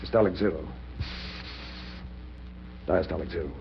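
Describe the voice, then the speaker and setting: An actor playing an uneasy, skeptical surgeon's assistant in a 1940s radio horror drama, speaking in a measured, doubtful way. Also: male voice, sits low, gravelly, over music. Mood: tense, uneasy. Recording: muffled, noisy, humming 1940s radio recording.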